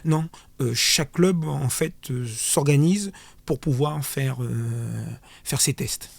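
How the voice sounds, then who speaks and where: A man answering as an official in a radio interview, explaining things haltingly and repeating words as he restarts. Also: mid-range voice, creaky, no echo. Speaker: sports official, interview guest. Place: radio studio.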